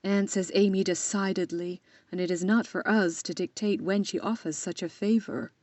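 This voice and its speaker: Casting: actress as patient middle-aged mother, measured and mellow, slightly dull recording, period radio play. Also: medium pitch, steady tone, no room sound, close to an everyday voice. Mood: gently regretful. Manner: gentle, measured. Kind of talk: audio drama acting of a period novel.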